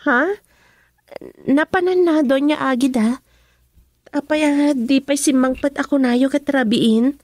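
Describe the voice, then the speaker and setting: A young Filipino woman in a radio drama, anxious and doubting about love. Her voice sits high and the sound is dry and close. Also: unhurried, long pauses, a little mellow, dry recording. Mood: upset, questioning.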